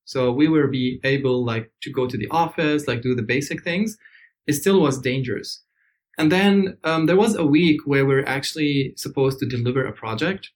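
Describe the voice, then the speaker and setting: A man's voice: mid-range voice, normal speed. A man from Syria, a podcast guest, telling his story in a reflective, hesitant way with frequent fillers, in a hotel room. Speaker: interview guest. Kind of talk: conversational storytelling.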